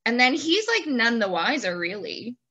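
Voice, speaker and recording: A woman with a fairly high, bright voice hosts a casual video chat. Her pitch moves around in a lively way, and she talks playfully, joking and saying "like" often. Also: normal speed, dull audio. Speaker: host, interviewer. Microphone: video call.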